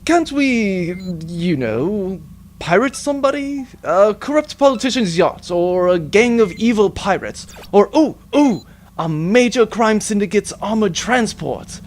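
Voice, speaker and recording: A man playing a childish, easily bored spaceship captain with a whiny, excitable manner in a comedic audio drama. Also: high voice, measured pace, slight background noise.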